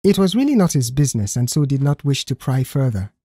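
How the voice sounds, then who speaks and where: A man reading scripted story narration in an expressive, careful storytelling manner. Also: mid-range voice, fast, breathy edge, no room sound, clean studio sound. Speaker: audiobook narrator.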